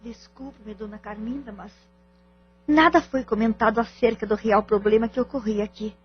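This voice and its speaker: A woman's voice: on the high side, steady tone, moderate pace, husky. A Brazilian woman playing a polite, concerned character in an audio drama. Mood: hesitant, concerned. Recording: dull lo-fi audio.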